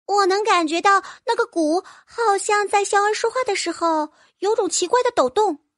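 A woman voicing a small puzzled fairy girl with a very high voice. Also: moderate pace, fairly bright tone, no room sound, studio quality.

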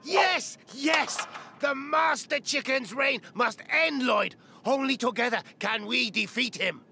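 A man playing a wise, formal old martial-arts master and uncle in an animated game, with loud music behind him. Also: very high voice, slow, vocal fry, heavy background noise, full character voice. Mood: urgent, alarmed. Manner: formal, mentor-like.

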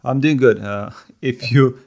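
A man in his thirties from Serbia with a Serbian accent, speaking as a podcast guest in a thoughtful, halting way with many fillers. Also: mid-range voice, creaky, darkish tone. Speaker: podcast guest, software developer. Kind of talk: casual podcast conversation.

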